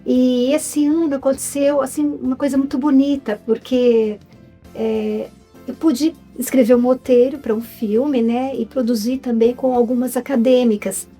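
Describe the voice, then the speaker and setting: Mellow-voiced Brazilian woman guest. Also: high-pitched, steady tone, measured pace, soft background music. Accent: Brazilian Portuguese. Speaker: guest, writer and film producer. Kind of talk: casual interview chat.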